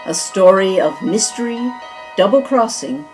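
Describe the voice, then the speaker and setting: A woman announcing and narrating a radio-style mystery drama in a measured, dramatic storytelling manner. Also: medium pitch, lively intonation, a little mellow. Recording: music clearly behind the voice.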